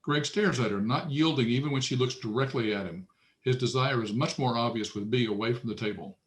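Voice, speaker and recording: A man's voice: medium pitch, a little fast. Guy reading out script directions at a table read, matter of fact, normal mid voice. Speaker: narrator reading stage directions.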